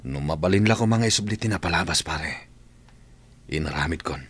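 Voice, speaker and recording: Man acting low, subdued, regretful young father. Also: lively intonation, fairly quick, fairly bright tone, husky, exaggerated voice. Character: regretful, subdued young father who deserted his girlfriend. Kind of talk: radio drama acting.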